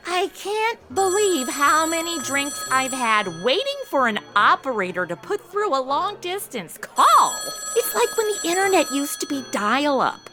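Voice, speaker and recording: Actress as a wildly animated, ditzy young woman. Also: high-pitched, unhurried, few pauses, over music. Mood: exasperated, flippant. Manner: slangy, breezy, gushing. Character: ditzy, flippant modern young woman, ex-cheerleader. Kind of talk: comedy audio drama acting.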